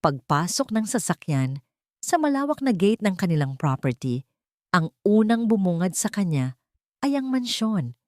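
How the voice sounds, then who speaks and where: A woman with a Filipino accent reading a dramatic story as an audiobook narrator, solemn and expressive with deliberate pauses. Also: medium pitch, no echo, studio quality.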